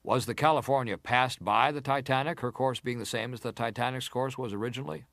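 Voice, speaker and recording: A senator leading a historical inquiry. He puts his questions formally and deliberately, probing for detail. His voice is of average male pitch, recorded with no room sound. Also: steady tone, vocal fry, fairly bright tone, dry recording. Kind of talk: dramatized reading of historical testimony.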